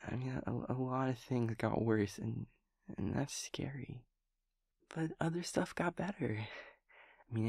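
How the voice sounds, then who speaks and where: A man voicing a sweet, naive, cheerful young daemon friend in an intimate audio roleplay, with soft, breathy speech and gentle, halting rambling. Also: mid-range voice, no echo. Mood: gentle, reassuring. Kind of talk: intimate audio roleplay acting, one-sided conversation.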